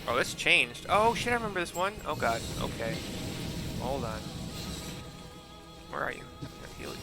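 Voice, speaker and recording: A man's voice: on the high side, very animated, normal speed, vocal fry, over loud music. A man narrating a video game playthrough in a casual, offhand way, describing scenes with muttered asides.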